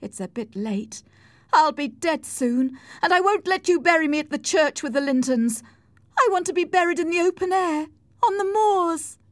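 A woman's voice: very high-pitched, expressive, normal speed, no room sound, pitched above the performer's own voice. A woman voicing a feverish, self-pitying and defiant young wife on her deathbed in an audiobook, with plaintive, melodramatic delivery. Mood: bitter, defiant. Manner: melodramatic, wailing. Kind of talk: audiobook character acting.

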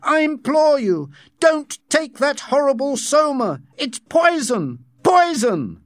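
A man reading an audiobook as an anguished young man, pleading and shouting with great fervour. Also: very high-pitched, big pitch swings, slow, dry recording, studio quality, voice pushed up, heavily acted. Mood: fervent, defiant. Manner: loud, pleading, fervent shouting. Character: anguished young man, an impassioned outsider called the savage.

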